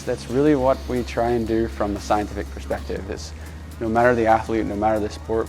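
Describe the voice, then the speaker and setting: A man who works as a performance coach, speaking in a measured, explanatory documentary interview. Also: lowish voice, darkish tone.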